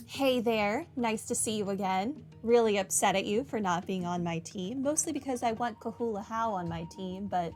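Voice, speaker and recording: A woman's voice: mid-range voice, moderate pace, hardly any pauses, a little mellow, soft background music. A woman hosting a casual game playthrough, rambling along in a self-deprecating way. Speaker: gaming host. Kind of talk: casual playthrough commentary, reading dialogue aloud.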